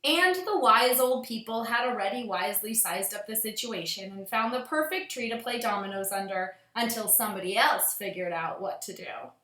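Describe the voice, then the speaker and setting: A woman teacher reading aloud to young children in an expressive storytelling manner. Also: medium pitch, moderate pace, hardly any pauses, roomy sound. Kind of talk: expressive picture-book read-aloud.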